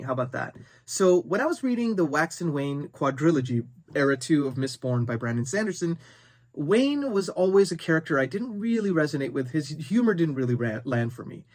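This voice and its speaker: A man's voice: fairly high pitch, big pitch swings, brisk. A man hosting a book video, talking casually and unscripted to viewers, conversational and thinking aloud as he goes. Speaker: book review video host.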